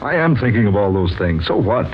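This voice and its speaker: An actor playing an earnest, troubled writer in 1940s radio drama, measured, sombre and reflective. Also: male voice, lowish voice, sing-song. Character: earnest, troubled male pulp writer telling his story. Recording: muffled, noisy 1940s radio recording.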